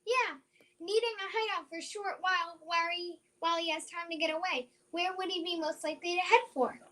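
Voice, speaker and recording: A woman's voice: very high-pitched, steady tone, fairly quick, bright, forceful tone. A woman playing a plucky, sure-minded young country girl in old-time radio drama style, eager and emphatic. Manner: eager, emphatic, repeats phrases.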